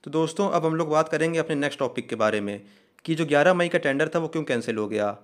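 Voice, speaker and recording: A man's voice: a little high, animated, fast. A man presenting an exam news update, explaining each point methodically, step by step. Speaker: host of an exam news channel.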